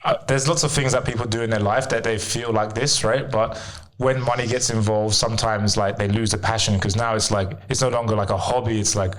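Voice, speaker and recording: A man's voice: fairly low pitch, steady tone, a little fast, few pauses. A man hosting an interview in a casual, conversational way, with informal questions full of "like". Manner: informal, frequent "like" and "right?". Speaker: interviewer, host.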